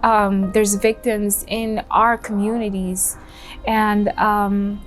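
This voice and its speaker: An earnest young woman from Cameroon narrates a documentary in a measured, steady voice. Soft music plays quietly under her. Her pitch sits in the middle range.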